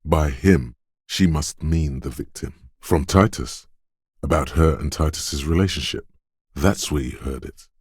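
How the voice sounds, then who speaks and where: Very deep, knowing inner-voice game narrator. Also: male voice, measured pace, darkish tone, slightly rough, dry recording, studio quality, full character voice. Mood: alert, probing. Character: detective's inner voice of quick reflexes, alert, wry narrator. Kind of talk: dramatic video game narration.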